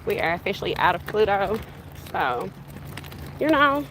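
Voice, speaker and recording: A woman's voice: mid-range voice, very animated, normal speed. A woman in her thirties, from the Bahamas, chatting casually and rambling to her livestream viewers while walking outdoors with a stroller, recorded on a handheld phone. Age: 30s. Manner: rambling, conversational, addresses viewers directly. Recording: street noise outdoors.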